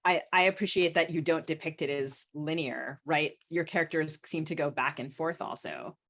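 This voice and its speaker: A woman's voice: deep voice, steady tone, moderate pace, a bit bright. A woman hosting a literary podcast, putting questions to an author in a thoughtful, considered way. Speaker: podcast host interviewing an author.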